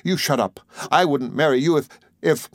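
A man voicing a furious, imperious young woman who scolds with sharp, scornful indignation in a storybook reading. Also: medium pitch, brisk, no echo, studio quality, natural voice. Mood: indignant, scornful.

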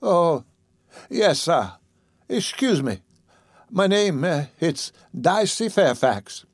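A bossy, motherly old Southern woman scolds in a high, drawn-out Southern drawl, as a male narrator puts her on. Her words stretch out slowly and rise high above an ordinary man's voice. The sound is dry and close to the mic. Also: very animated, dry recording, heavily acted. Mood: scolding, motherly. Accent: American Southern. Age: older. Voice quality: high put-on voice, drawn-out dialect speech.

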